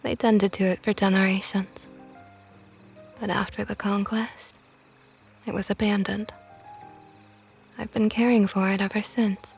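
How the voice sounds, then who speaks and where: A woman voicing a possessive, flirtatious female vampire knight in an ASMR roleplay, talking softly and often in a whisper with a teasing tone. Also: medium pitch, flat delivery, unhurried, creaky, long pauses, soft background music. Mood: tender teasing.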